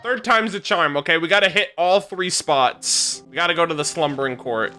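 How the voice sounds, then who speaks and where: High, bright-voiced man, music behind. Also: normal speed. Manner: excitable, slangy. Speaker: gaming reaction host. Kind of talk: expressive reaction commentary and dramatic lore reading.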